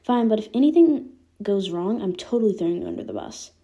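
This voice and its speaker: Woman voicing a snarky, mellow young man. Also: medium pitch, expressive, a little fast, muffled recording, close to an everyday voice. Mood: wary, resigned. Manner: sardonic, blunt. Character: snarky, wary young man, gruff but caring.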